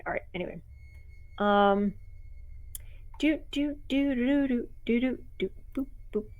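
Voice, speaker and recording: A chatty woman streamer with a slightly raspy voice. She talks casually in loose, rambling asides, thinking aloud as she writes. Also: on the high side, measured pace, phone-quality sound, slight background noise. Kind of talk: casual live-stream chat while writing.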